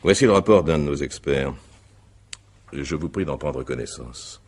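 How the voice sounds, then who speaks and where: A man playing an examining magistrate in a radio mystery, courteous but insistent, with formal, deliberate phrasing. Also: extremely low pitch, darkish tone, breathy edge. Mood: methodical, probing. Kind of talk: French radio mystery drama acting.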